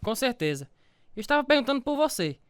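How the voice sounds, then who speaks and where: An actor playing a young scrawny boxer in a radio drama, politely mock-submissive yet quietly cocky. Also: male voice, extremely high pitch, very animated, brisk, clear, resonant tone. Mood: sly, confident.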